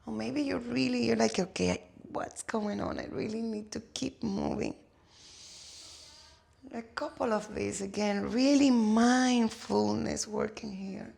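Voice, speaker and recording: A woman from Cuba with a Cuban Spanish accent, a native Spanish speaker, guiding a yoga class with slow, soothing cues and playful asides. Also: fairly high pitch, gravelly, darkish tone. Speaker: yoga instructor.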